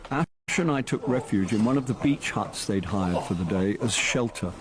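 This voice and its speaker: A man's voice: lowish voice, lively intonation, moderate pace, a crowd in the background. A man playing a charming, unlucky middle-aged actor and amateur sleuth in a radio drama, with a dry, wry manner. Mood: wry narration. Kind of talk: radio drama acting with first-person narration.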